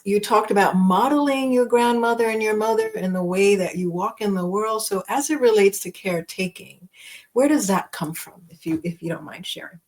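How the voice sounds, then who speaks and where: A middle-aged woman hosting a reflective conversation, with deliberate, gracious phrasing as she searches for the right words. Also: medium pitch, a little mellow. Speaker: podcast host, interviewer.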